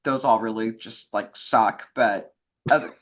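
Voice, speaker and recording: A woman's voice: extremely low pitch, measured pace. A woman in casual film and radio chat, informal and opinionated, rambling with lots of "like". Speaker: co-host answering fan questions.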